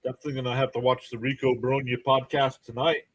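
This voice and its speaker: A man's voice: mid-range voice, little pitch movement, quick pace, lo-fi sound. A man hosting a live baseball stream, mixing clipped play-by-play calls with informal fan asides and chat to viewers. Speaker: livestream play-by-play host and fan.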